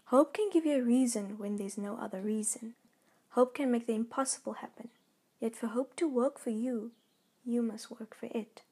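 A woman reading a scripted devotional message in an earnest, measured, encouraging way. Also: fairly high pitch, warm tone, husky. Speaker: devotional reader.

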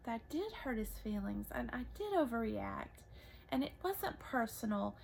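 A woman in her thirties with a soft voice, talking gently and haltingly in a casual faith conversation, often restarting her sentences. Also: a little high, sing-song, gravelly, a little hiss or hum, low-quality recording. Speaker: podcast co-host, mother.

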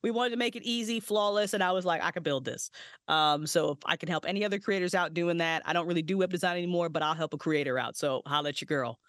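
A woman's voice: fairly low pitch, fast, dry recording, clean studio sound. A woman in her forties, a podcast co-creator, chatting casually as a guest, swearing freely and laughing often. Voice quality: profane, animated, laughing often. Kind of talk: casual chat.

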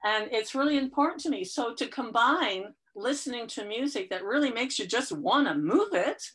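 A chatty woman tells stories with eager enthusiasm, her talk dotted with fillers and restarts. Her voice is clear and bright, though a lingering cold leaves it a little stuffy. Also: a little high, animated, normal speed. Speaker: interview guest, fitness client. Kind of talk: casual conversational chat.

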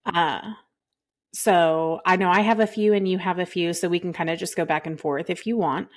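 A woman's voice: lowish voice, fairly even intonation, normal speed. A woman from Texas, co-hosting a podcast in a rambling, casual way with lots of fillers. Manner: rambling, laughs often, many fillers. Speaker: podcast co-host.

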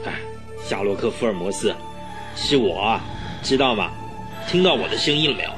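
A man's voice: lowish voice, lively intonation, a little fast, music in the background, close to an everyday voice. An actor playing a smug, cruel murderer in a radio drama, sneering and boastful as he mocks a victim. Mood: taunting. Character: gloating male murderer, cruel, smug, mocking villain. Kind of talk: Mandarin radio drama acting.

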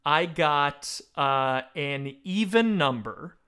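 A man's voice: on the high side, expressive, takes it slowly, sharp tone, smooth. A man chatting casually about a book as a podcast co-host, joking and self-deprecating. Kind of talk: casual book discussion with read-aloud passages.

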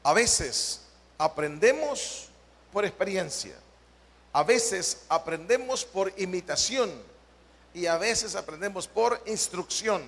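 A Salvadoran man preaching a Bible study as pastor, with slow, emphatic delivery that stretches key words and puts questions to the congregation. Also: high-pitched, animated, vocal fry, fairly bright tone. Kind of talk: sermon. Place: church hall. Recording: long church-hall reverb.

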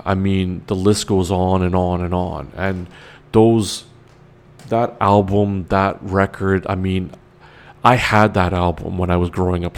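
A man's voice: deep voice, darkish tone. A man, rambling enthusiastically as a solo show host, full of fillers and restarts. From Canada. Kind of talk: casual solo talk.

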